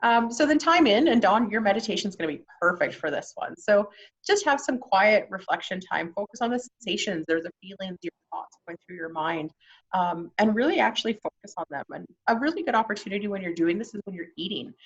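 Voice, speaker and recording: A woman's voice: medium pitch, lively intonation, brisk, highs cut off. A Canadian woman presenting an informal online workshop in a conversational, encouraging way. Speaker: workshop presenter on mental health.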